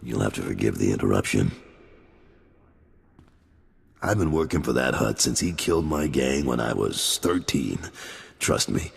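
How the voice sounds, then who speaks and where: A man's voice: a bass voice, animated, a little slow, vocal fry, long pauses, husky, big-room sound, exaggerated voice. A man voicing a blunt, wary middle-aged crime lieutenant in a video game cut-scene. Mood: weary. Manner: blunt, warning.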